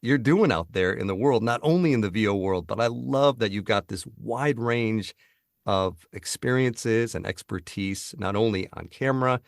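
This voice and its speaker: A man's voice: lowish voice, moderate pace, gravelly, no room sound, clean studio sound. A man hosting a podcast who asks rambling, enthusiastic questions. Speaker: podcast host. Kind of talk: interview hosting.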